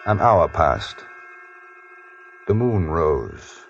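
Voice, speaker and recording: An actor playing a composed, wry English newspaperman in a radio western, with an English accent and measured, dryly ironic delivery. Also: low-pitched, big pitch swings, exaggerated voice. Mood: calm, reflective. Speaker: lead actor and narrator. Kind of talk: 1950s radio western acting and narration. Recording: muffled vintage radio recording with music.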